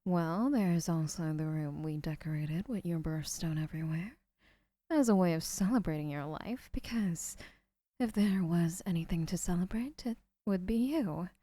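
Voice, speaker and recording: Woman voicing a murmuring yandere girl, low voice, mellow tone, audio roleplay. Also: normal speed, dry recording, natural voice. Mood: soft. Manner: soft, hushed, breathy murmuring. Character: gentle obsessive young female yandere painter.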